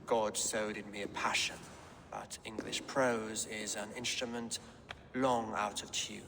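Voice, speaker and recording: A man playing a pious, learned, weary Saxon king in a video game, speaking in a breathy, gravelly hush. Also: fairly low pitch, steady tone, measured pace. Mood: hushed, reflective. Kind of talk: video game dramatic acting. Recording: reverberant with clear background noise.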